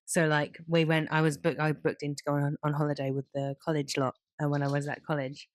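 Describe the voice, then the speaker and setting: A woman's voice: low-pitched, little pitch movement, a little fast, no echo. A young woman co-hosting a podcast in an informal, chatty way. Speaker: podcast co-host. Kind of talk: casual chat.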